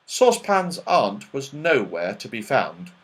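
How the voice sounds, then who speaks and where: A man reads a children's storybook aloud in a medium-pitched voice. His intonation swings widely, and the delivery is dramatic and expressive throughout. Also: a little slow. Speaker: audiobook reader.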